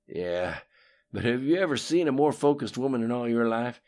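A man voicing a brash, boastful older male schemer who chuckles and talks in quick, loud bursts with a raspy edge. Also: mid-range voice, animated, voice pushed up, close to an everyday voice. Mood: admiring.

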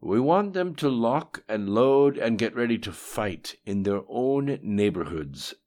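A man's voice: mid-range voice, animated, measured pace, darkish tone, voice pushed up, lightly acted. A menacing supernatural tyrant, acted by a male audiobook narrator, speaks as a bodiless voice. He is imperious and scolding, commanding obedience in a raised, emphatic delivery. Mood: cold, calculating. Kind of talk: audiobook dialogue acting.

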